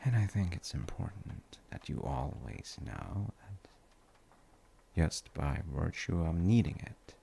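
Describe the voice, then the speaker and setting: A man playing a gentle, reflective dad in an intimate audio roleplay, musing softly and reassuringly to a tired child with thoughtful pauses. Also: lively intonation, a little hiss or hum, heavily acted. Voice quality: gravelly, creaky low voice. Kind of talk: soft intimate sleep-aid audio roleplay.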